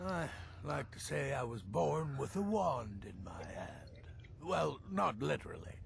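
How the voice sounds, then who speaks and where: A crotchety old wizard, from a fantasy game. His voice is deeply creaky and swings widely in pitch as he boasts with morbid, theatrical relish. Faint noise sits behind him. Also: sing-song, slow, exaggerated voice. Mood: boastful, wry. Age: older. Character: cranky old mage uncle, boastful, morbid, aloof loner.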